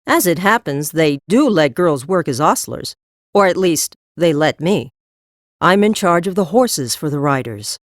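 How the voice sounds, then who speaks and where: A woman's voice: low-pitched, expressive, measured pace, no echo, studio quality. A woman acting a tough but kindly horse trader in a full-cast audiobook, blunt, practical and no-nonsense. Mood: frank, kindly.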